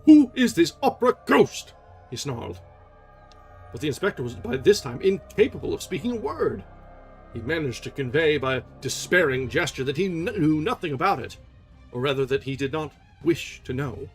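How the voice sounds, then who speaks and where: A man's voice: fairly high pitch, lively intonation, moderate pace, faint music behind, close to an everyday voice. A man voicing an irascible male opera manager who barks orders and questions sharply. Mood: interrogating. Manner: barking, imperious, sarcastic.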